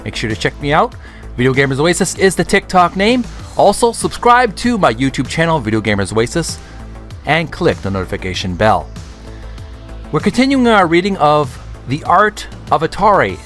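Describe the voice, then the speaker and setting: A middle-aged man hosting a channel, reading a book aloud in a deliberate, halting way, phrase by phrase. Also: a little high, sing-song, a bit bright, soft background music. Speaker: video channel host.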